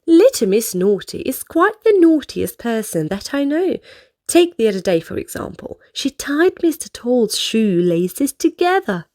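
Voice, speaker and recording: A high-voiced woman reads a children's story aloud. Her delivery is animated, swinging widely in pitch, and she speaks directly to young listeners. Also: unhurried. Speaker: children's book reader.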